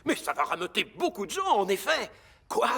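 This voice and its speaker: A stern, bossy fire chief. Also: male voice, sits high, wildly swooping pitch, fairly quick, heavily acted. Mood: startled. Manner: bossy, gruff, then startled. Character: stern middle-aged male fire chief. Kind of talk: cartoon dub acting. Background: music.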